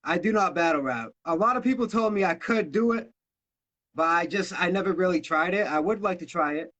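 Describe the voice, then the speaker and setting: A man's voice: high voice, brisk, a bit bright, muffled recording. A man in his thirties from Massachusetts, a rapper talking loosely and casually in an interview, swearing freely. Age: 30s. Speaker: rapper guest.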